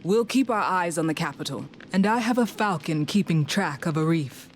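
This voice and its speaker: Measured rebel leader, a man acting, higher voice. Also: lively intonation, a little hiss or hum, natural voice. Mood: resolute, measured. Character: rebel leader planning an attack on a capital. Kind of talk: video game cutscene acting.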